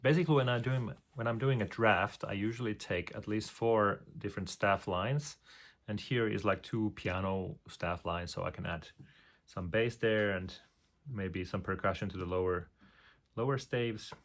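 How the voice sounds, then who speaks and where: Guy walking through how he wrote a tune, low gravelly voice, lots of uhs and hums. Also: normal speed. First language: Finnish. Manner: hesitant, many fillers, hums melodies. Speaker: composer. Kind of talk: explaining his composing process.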